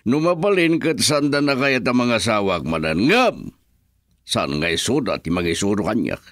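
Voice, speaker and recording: An actor playing a wealthy older suitor, smitten and theatrical, in an Ilocano Filipino radio drama. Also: male voice, fairly low pitch, sing-song, moderate pace, a bit bright, dry recording, clean studio sound. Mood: wooing.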